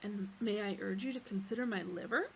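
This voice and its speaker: A woman's voice: mid-range voice, fairly quick, gravelly, a little hiss or hum, lightly acted, poor audio. A woman voicing a polite, eager male beast that offers itself as a meal, in a courteous waiter-like manner. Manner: courteous waiter-like salesmanship, contented.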